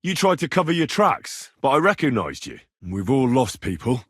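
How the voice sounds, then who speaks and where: A man's voice: mid-range voice, sing-song, normal speed, husky, no room sound, studio quality. An actor playing an earnest, stubborn young medieval man in a video game, speaking in a direct, persuasive way. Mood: serious. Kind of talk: acted video game dialogue lines.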